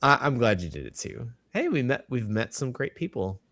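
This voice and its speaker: A man's voice: mid-range voice, sing-song, moderate pace, a bit bright. A man co-hosting a casual livestream chat, agreeable and encouraging toward his partner. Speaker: podcast co-host.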